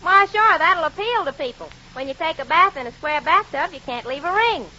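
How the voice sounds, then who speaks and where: An actress playing a brash, folksy country gal with a rural Southern American accent in a 1940s radio comedy. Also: female voice, very high-pitched, lively intonation, a little fast, heavy background noise. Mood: breezy. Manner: sassy, wisecracking, folksy. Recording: dull vintage radio recording.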